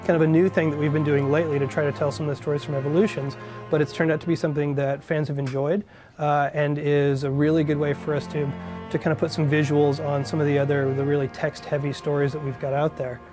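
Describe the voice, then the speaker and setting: Clear music plays behind a man giving an interview. His voice is a little high for a man, and he talks reflectively, as a developer discussing work. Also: level tone, quick pace. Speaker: franchise developer.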